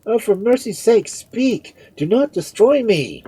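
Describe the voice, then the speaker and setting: A man voicing a terrified, anguished young man in a story, begging with shrill, pleading cries. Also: expressive, unhurried, dark tone, breathy edge, exaggerated voice, rough recording. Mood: desperate pleading.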